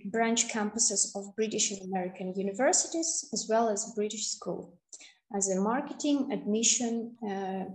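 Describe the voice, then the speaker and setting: A woman from Kazakhstan giving an online webinar presentation, slow and hesitant with many fillers, heard over a video call. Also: mid-range voice, a little mellow, echoey room, poor audio.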